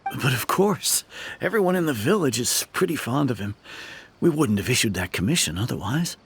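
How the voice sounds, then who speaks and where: Gravelly, weary village shopkeeper. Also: male voice, medium pitch, sing-song, moderate pace, a little hiss or hum. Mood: fond, concerned. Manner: hesitant, apologetic, conversational. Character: weary, well-meaning male village shopkeeper. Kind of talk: video game voice acting. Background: music.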